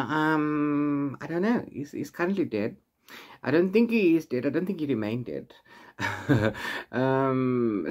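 A man in his forties giving a casual, unscripted book chat, rambling in an animated way with exasperated asides. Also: mid-range voice. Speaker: book reviewer vlogger. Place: small room at home with bookshelves.

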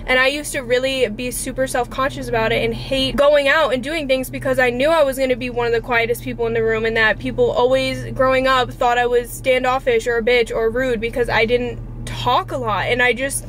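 A woman's voice: fairly high pitch, fairly even intonation, fairly quick, few pauses, a bit bright. A woman in her twenties chatting casually and earnestly to camera as a vlogger, informal and mildly profane, recorded inside a car. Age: 20s. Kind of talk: casual confessional chat to camera. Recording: car interior with clear background noise.

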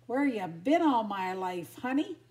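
A scruffy male pound dog from a storybook, acted out by a woman. He is a streetwise tease with slangy wisecracks, dragged out in a slow drawl. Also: mid-range voice, lively intonation, dark tone. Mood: teasing.

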